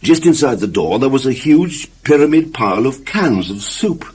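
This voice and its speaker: A man reads a children's story with very lively, swinging intonation. His mid-pitched voice has a husky grain, and he leans on key words as he addresses the listener. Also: normal speed. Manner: expressive, emphatic, addresses the listener. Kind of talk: scripted children's story narration.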